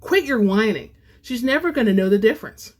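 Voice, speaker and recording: A woman's voice: fairly high pitch, lively intonation, a little fast. A woman voicing a scheming, scornful companion in a dramatic story reading, scoffing, coaxing and impatient. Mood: demanding, impatient. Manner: scoffing, cajoling, demanding.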